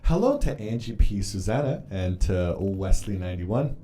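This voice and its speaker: A man's voice: lowish voice, lively intonation, moderate pace, mellow, slight background noise. A man whose first language is Polish, chatting casually on a live stream while journaling, rambling and swearing freely as he reads comments. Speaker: livestreamer reading chat.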